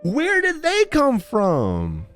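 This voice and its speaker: A woman's voice: on the high side, wildly swooping pitch, very slow, soft background music. A woman giving casual reaction commentary on a game, with slangy, joking asides.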